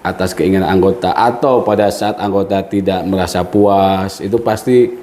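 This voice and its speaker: A man hosting a podcast interview, listening closely with steady hums of agreement and asking probing questions. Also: low voice, moderate pace, roomy sound. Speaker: podcast host.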